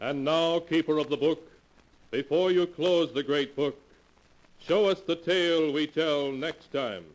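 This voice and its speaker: A man narrating and announcing an old radio mystery show in a measured, dramatic manner. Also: fairly high pitch, rough recording.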